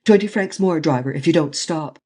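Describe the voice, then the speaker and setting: A reader voicing a daring, commanding gentleman thief who speaks urgently and forcefully in an audiobook. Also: mid-range voice, fairly quick, slightly rough, natural voice. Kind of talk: audiobook reading.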